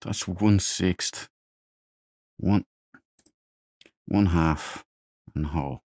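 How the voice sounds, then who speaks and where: Guy doing a lets play, real low voice, slow, thinking out loud, dry mic sound. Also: no room sound. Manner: muttering, thinking aloud. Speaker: gamer. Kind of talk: casual let's play commentary.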